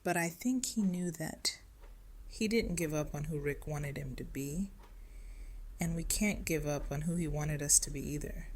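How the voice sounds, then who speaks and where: Woman, deep voice, calm, measured, audio drama. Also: slight background noise. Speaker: voice actor. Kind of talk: amateur audio drama acting.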